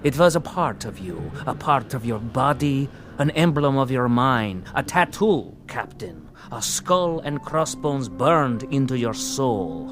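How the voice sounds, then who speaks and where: An actor playing a calm, relentless accuser in a radio drama, speaking in a sardonic, deliberate manner. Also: male voice, mid-range voice, faint music behind. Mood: cold, accusing. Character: calm, relentless accuser haunting a former camp captain.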